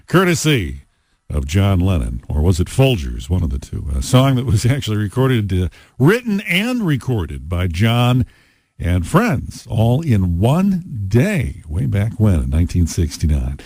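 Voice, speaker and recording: A man's voice: on the low side, wildly swooping pitch, a little slow, darkish tone, slightly rough, dry recording, clean studio sound. An American man hosting a rock radio show, talking in casual, conversational DJ patter with playful asides. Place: radio studio. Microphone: close studio broadcast mic.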